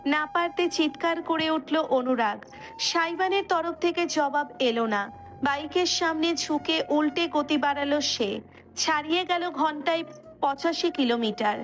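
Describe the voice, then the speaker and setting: A woman's voice: high-pitched, a little slow, a bit bright. A woman narrator reading a romance story as dramatic scripted narration.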